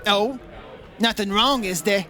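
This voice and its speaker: A woman playing a hard-boiled insurance investigator in an old-time radio detective drama, dry and measured. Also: medium pitch, fairly bright tone, a faint crowd, close to an everyday voice. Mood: puzzled.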